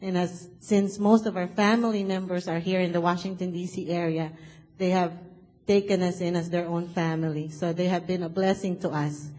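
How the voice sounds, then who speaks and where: A woman's voice: on the low side, steady tone, normal speed, darkish tone. Earnest Filipino woman introducing a speaker. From Philippines. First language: Tagalog. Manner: earnest, enthusiastic. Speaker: church member introducing the speaker. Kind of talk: formal introduction. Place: church sanctuary.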